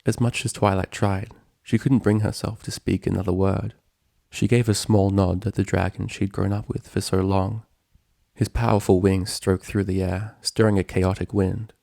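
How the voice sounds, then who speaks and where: Low, creaky male story narrator. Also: dark tone, no room sound, clean studio sound. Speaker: narrator, fan fiction reader.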